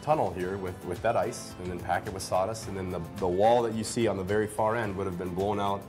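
A man in his thirties with a fairly low voice shows visitors around in a casual, rambling way. He speaks informally into a lapel mic, with some music playing behind him. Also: fairly even intonation, fast. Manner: informal, rambling, hedging with "kind of". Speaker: brewery representative giving a tour. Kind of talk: casual interview tour. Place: indoors in brewery rooms and stone cellar.

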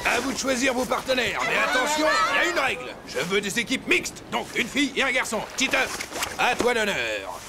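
Cartoon sports coach: big, bossy man with a strikingly high, gravelly voice, giving orders. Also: sing-song, brisk, over loud music, a loud crowd, full character voice. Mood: instructing. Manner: commanding, announcing rules. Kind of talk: French animated series dubbing.

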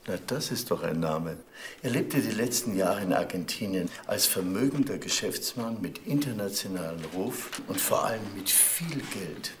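An actor playing a smug, self-assured dealer in noble titles in a German crime radio drama. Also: male voice, sits low, animated, moderate pace. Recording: faint noise with clicks.

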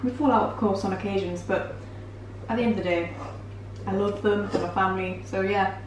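A woman's voice: medium pitch, fairly even intonation, brisk. A woman in her twenties with a British accent, chatting casually and self-deprecatingly to camera as a vlogger. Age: 20s. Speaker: vlogger answering viewer assumptions while baking. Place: home kitchen, indoors. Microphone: camera mic at a short distance. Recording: roomy, distant mic, faint background noise.

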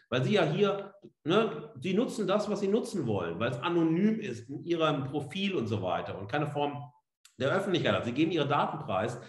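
A man giving an explanatory slide lecture as a professor, speaking emphatically with asides. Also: mid-range voice. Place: indoor room, seated on camera. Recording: roomy, echoing indoor sound.

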